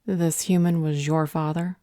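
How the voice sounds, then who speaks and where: Woman playing a low-voiced, stern magical judge. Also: flat delivery, measured pace, warm tone, no room sound, studio quality. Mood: stern, officious. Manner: formal, officious, commanding. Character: stern, curt female magical council judge. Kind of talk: audio roleplay fantasy drama.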